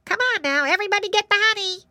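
Woman doing high, eager little honeybees. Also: racing, bright, forceful tone, no room sound, exaggerated voice. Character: busy eager honeybees, small and bossy.

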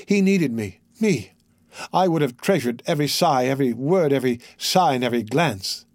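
A man's voice: medium pitch, lively intonation, normal speed, no echo, studio quality, lightly acted. A man voicing a devoted, grief-stricken young woman in mourning, pleading insistently, as a character in an audiobook. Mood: anguished longing. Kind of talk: dramatic audiobook character reading.

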